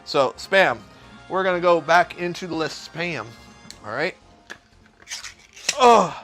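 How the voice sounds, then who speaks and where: A man's voice: fairly high pitch, slow pace, a bit bright, faint music behind. A man who is a chef, cooking on a live stream and chatting with viewers in a crude, joking, profane way. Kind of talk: casual livestream cooking chat.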